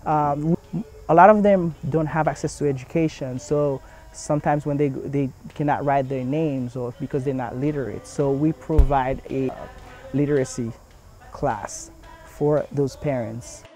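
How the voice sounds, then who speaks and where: A man's voice: mid-range voice, steady tone, measured pace, a little mellow, faint music behind. A young man from Haiti speaking in an earnest documentary interview, with frequent fillers and restarts. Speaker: community center founder's son.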